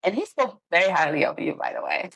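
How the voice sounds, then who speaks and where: A woman's voice: fairly low pitch, huge pitch swings, fast talker, creaky, fairly bright tone, husky, no echo, poor audio. A woman from Sierra Leone hosting a podcast, chatting casually and expressively with her guest, often laughing. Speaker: podcast host. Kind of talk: casual interview chat. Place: studio in Edmonton, Canada.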